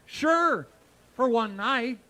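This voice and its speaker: A cocksure teenage schoolboy with a very high-pitched voice. He is breezy and slangy, a helpful schemer in an old-time radio comedy. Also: animated, very slow, bright, forceful tone, exaggerated voice. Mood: breezy, eager. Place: live stage performance at a radio history event.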